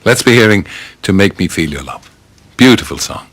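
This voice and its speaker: Deep-voiced, husky older male radio host. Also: expressive, moderate pace, a bit bright. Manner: teasing, chuckling, rambling. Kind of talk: casual radio chat. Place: radio studio.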